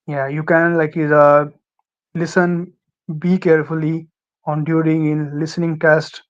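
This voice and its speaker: A young man from Pakistan with a Pakistani accent, Urdu his first language, giving halting advice as a guest with many fillers and restarts. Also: a little high, little pitch movement, smooth, muffled recording. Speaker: guest, former student. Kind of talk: casual interview advice.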